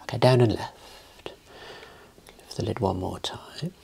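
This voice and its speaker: A man speaking softly, mostly in a whisper, calmly giving reassuring instructions as an optometrist in an ASMR eye exam roleplay. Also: lowish voice, a little slow, gravelly, slightly rough.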